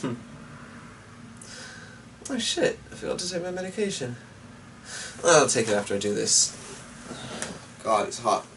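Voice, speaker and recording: A man reading a story aloud and rambling to himself, with hesitant, drawn-out musing and muttered asides. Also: mid-range voice, sing-song, a bit bright. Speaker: host and story reader. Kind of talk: rambling solo chat and dramatic story narration. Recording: distant mic with faint background noise.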